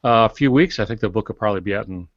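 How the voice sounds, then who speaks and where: A man in his sixties co-hosting a podcast, introducing things informally in a halting, folksy, lightly joking way. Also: lowish voice, no room sound, lo-fi sound. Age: 60s. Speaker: podcast co-host.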